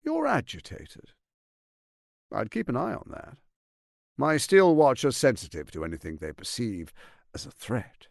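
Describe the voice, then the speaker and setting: An actor playing a suave, ambitious, scheming lord in a video game, speaking in a theatrical, articulate and condescending way. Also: male voice, medium pitch, huge pitch swings, unhurried, gravelly, long pauses, no echo, studio quality, full character voice. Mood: menacing. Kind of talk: dramatic video game voice acting.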